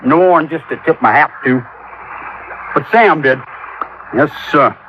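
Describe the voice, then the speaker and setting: A man's voice: fairly high pitch, unhurried, lightly acted. An actor playing a talkative, plainspoken waterfront boat repairman with a Southern drawl in a 1950s radio drama. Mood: gossipy. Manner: rustic, chatty, colloquial drawl. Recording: dull, noisy old radio recording.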